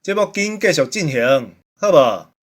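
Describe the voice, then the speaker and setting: A man's voice: a little high, very animated, normal speed. A man with a Taiwanese accent hosting a show in bantering talk. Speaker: show host.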